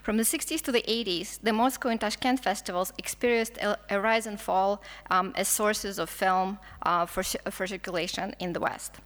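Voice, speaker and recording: A woman's voice: mid-range voice, fairly even intonation, moderate pace, few pauses, fairly bright tone, long reverb tail. A woman with a Russian accent giving an academic conference talk, reading with frequent stumbles, restarts and fillers. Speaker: film historian lecturer.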